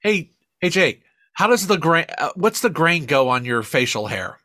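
A man co-hosting a podcast in casual banter, swearing freely and rambling in an animated way. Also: fairly high pitch, normal speed, dry recording, clean studio sound. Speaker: podcast co-host.

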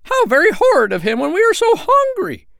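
A man's voice: huge pitch swings, fairly quick, creaky, a bit bright, dry recording, clean studio sound, exaggerated voice. A man voicing a hungry, cheeky young sandpiper chick in a childlike, exclamatory storybook voice. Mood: indignant. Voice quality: high, squeaky put-on voice. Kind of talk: storybook character voice.

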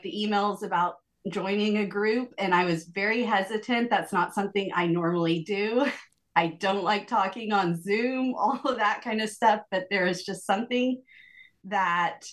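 A woman giving a reflective, earnest personal account in a group conversation, searching for her words as she goes. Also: mid-range voice. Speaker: group member sharing testimony.

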